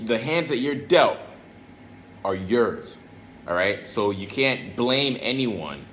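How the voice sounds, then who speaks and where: A man's voice: mid-range voice, a bit bright, a little hiss or hum. An American man giving a casual motivational monologue to camera in streetwise slang, repeating phrases for emphasis. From Brooklyn and Florida, United States. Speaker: self-help video creator.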